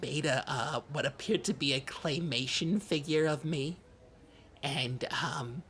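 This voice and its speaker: A performer playing a needy, wounded, childlike podcast host, talking in a halting, earnest, hesitant way in improvised comedy. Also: mid-range voice, fairly bright tone. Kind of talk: improvised deadpan comedy talk. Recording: noticeable background noise, lobby ambience.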